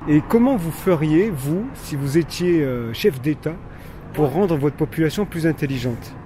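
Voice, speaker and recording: A woman's voice: low voice, animated, normal speed, background noise. A woman interviewing passers-by on a busy street, asking playful questions. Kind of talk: vox pop street interview. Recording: loud crowd behind.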